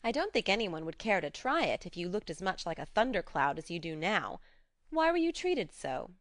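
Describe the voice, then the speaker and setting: A woman voicing a spirited, frank young woman who talks briskly and coaxingly. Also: fairly low pitch, big pitch swings, fairly bright tone, no echo, studio quality.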